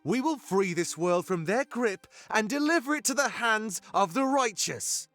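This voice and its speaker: High-pitched, impassioned rebel leader. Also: male voice, animated, unhurried, a bit bright, full character voice. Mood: fiery, vengeful. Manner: grandiose, oratorical. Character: fervent male rebel leader, an anthropomorphic animal rallying his fighters. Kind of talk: dramatic video game speech. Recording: music behind the voice. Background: music.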